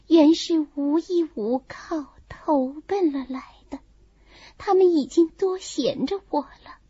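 A woman acts out a frail noble girl in her teens, sensitive and melancholy. Her voice is very high and bright, confiding in a slow, plaintive way, as in an audiobook drama. The audio is a little dull. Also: no room sound. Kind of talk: dramatised audiobook reading of a classical novel.

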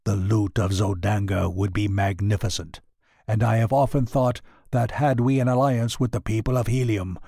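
Proud blunt alien warlord, read by a man, low and deliberate, dry recording. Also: fairly even intonation, creaky, warm tone, no echo. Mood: proposing.

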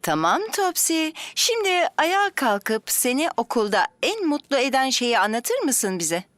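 Gentle cartoon preschool teacher: lilting, high, encouraging, dry close recording. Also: female voice, very animated, unhurried, no room sound, full character voice. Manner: coaxing, sing-song praise to children. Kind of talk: children's cartoon dub.

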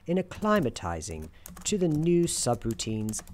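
Very deep-voiced, soft-spoken woman narrator. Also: sing-song. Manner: measured, deliberate, theatrical storyteller phrasing. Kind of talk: soft-spoken ASMR roleplay, scripted storybook-like narration. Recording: keyboard clicks and faint noise. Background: computer keyboard typing.

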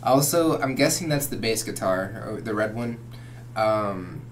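A man's voice: lowish voice, animated, unhurried. A man in his thirties chatting casually and a little hesitantly as co-host of a home reaction video. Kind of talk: casual chat, music reaction. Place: small home room, indoors. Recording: faint background hum.

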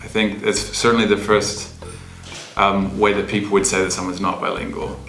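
A man's voice: fairly low pitch, flat delivery, normal speed, fairly bright tone. A young man answering interview questions thoughtfully, with frequent fillers. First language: English. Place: bright room with large windows. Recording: echoey room, music behind.

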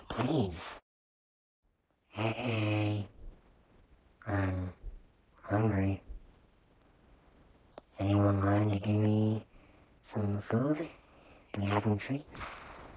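A man voicing a needy, begging pet dog in a home-made comedy, husky and nagging through a pitch-lowering voice changer. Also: exaggerated voice. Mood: hungry, demanding. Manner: pleading, nagging, repetitive.

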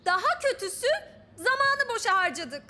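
Insistent, squeaky-high cartoon child. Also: female voice, very animated, moderate pace, noisy background. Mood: exasperated. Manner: insistent, questioning. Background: cartoon background music with jingles.

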